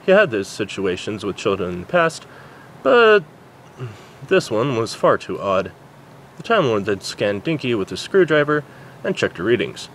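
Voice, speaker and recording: A man's voice: lowish voice, very animated, normal speed, a bit bright, a little hiss or hum, rough recording. A man narrating a story as an amateur reader, stumbling over words now and then. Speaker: amateur fan fiction reader. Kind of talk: story narration, reading aloud.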